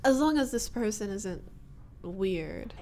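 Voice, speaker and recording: A woman's voice: mid-range voice, expressive, slow pace, dark tone, slight background noise. A woman voicing a flustered young former bully in a scripted roleplay audio, hesitant and wry. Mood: wry, musing. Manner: hesitant, sighing, nervous laughs, wry muttering.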